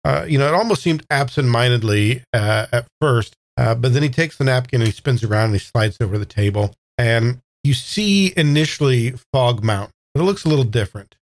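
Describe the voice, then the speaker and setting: A man casually improvising descriptive storytelling as game master of a tabletop role-playing game. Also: medium pitch.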